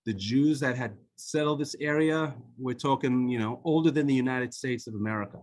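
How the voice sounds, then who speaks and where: A man's voice: mid-range voice, fairly quick, a little mellow. A man, an author, showing photographs on a video call and talking in a loose, conversational way with frequent fillers and restarts. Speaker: author and guest speaker.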